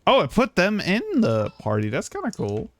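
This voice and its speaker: A man's voice: sits high, wildly swooping pitch, normal speed, no echo. A man streaming a game with casual commentary, rambling and thinking aloud in an informal way. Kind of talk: casual livestream gameplay commentary. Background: faint game music.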